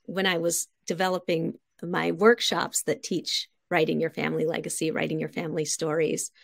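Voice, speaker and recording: A woman's voice: low-pitched, little pitch movement, moderate pace, creaky, no echo, studio quality. A middle-aged woman, a writing teacher, explaining conversationally as a guest on a video call from home. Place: home living room.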